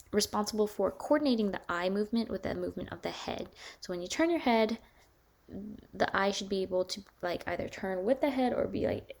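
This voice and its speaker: A woman lecturing casually on hearing and balance, with a higher voice and many fillers and restarts. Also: darkish tone. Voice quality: higher-pitched voice.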